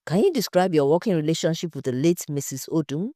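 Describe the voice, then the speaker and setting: A woman's voice: low voice, lively intonation, quick pace, dark tone, no room sound, clean studio sound. A woman playing a composed female investigative journalist asking direct questions in an audio story. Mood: probing.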